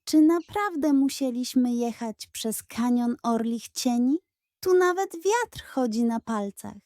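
A woman's voice: on the high side, animated, takes it slowly, warm tone, dry recording, studio quality. A woman doing a wisecracking cowboy deputy. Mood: grumbling. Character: loyal young male deputy, jokey and eager.

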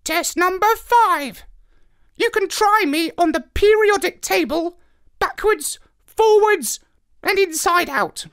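A man's voice: extremely high pitch, expressive, slow, a bit bright, pitched above the performer's own voice, full character voice. A man voicing a haughty, megalomaniac parrot in a squawky screech for a children's story. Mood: boastful lecturing. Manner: haughty, orating, self-important. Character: pompous megalomaniac male parrot, screechy and imperious.

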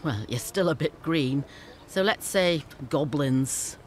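An actress playing a shrewd female scavenger leader in a fantasy game, brisk and businesslike with a teasing edge. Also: deep voice, animated, a little hiss or hum. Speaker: game character. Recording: game audio with faint music.

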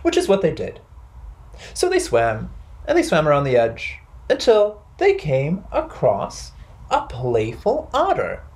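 A young man hosting a children's storytime, narrating playfully with deliberate phrasing. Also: fairly high pitch, wildly swooping pitch, darkish tone. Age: young adult. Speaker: library storytime host.

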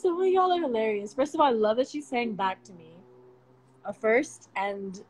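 A woman's voice: a little high, lively intonation, moderate pace, a little mellow, husky. Giggly woman on a phone livestream. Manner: bubbly, giggly, affectionate. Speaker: livestream host. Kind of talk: casual livestream chat. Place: bedroom at night, indoors. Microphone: phone held close.

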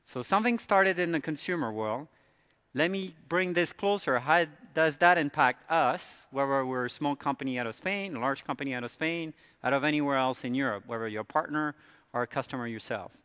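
A man's voice: a little high, a little fast, fairly bright tone, smooth, long reverb tail. A man from France with an American accent, giving a conference presentation in a casual, conversational way. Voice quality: fillers and restarts, conversational. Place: large conference hall.

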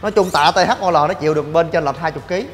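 A young man hosting a gym vlog, joking in rapid banter and shouting coaching cues. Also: fairly high pitch. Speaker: fitness vlogger. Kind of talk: energetic gym demo vlog. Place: large indoor gym. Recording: long gym reverb with music.

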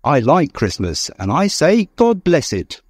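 A man's voice: fairly high pitch, wildly swooping pitch, measured pace, husky, no echo, clean studio sound, pitched above the performer's own voice, exaggerated voice. Guy playing a cheery young nephew in a storybook, eager n earnest, voice way up and bouncing all over. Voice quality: happy voice. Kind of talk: audiobook character reading.

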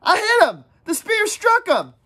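A narrator voicing an excited, boastful schoolboy hunter who insists on being noticed, in a storybook reading. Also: mid-range voice, moderate pace, natural voice.

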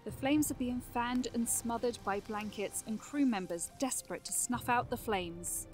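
A woman's voice: a little high, normal speed, a little mellow, soft background music. A woman reading scripted narration for a fantasy audio drama.

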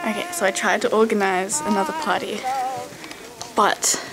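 A teenage girl vlogging casually with friends at school, lively and laughing. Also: mid-range voice, normal speed. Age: late teens. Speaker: vlogger, final-year student. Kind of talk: casual chat among friends. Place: school classroom with classmates. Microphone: handheld camcorder. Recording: noisy classroom crowd, music.

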